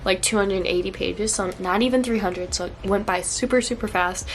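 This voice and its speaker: A woman's voice: medium pitch, normal speed, slight background noise, poor audio. Young woman, congested and stuffy, bubbly casual book-review vlogger. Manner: upbeat, gushing, frequent fillers.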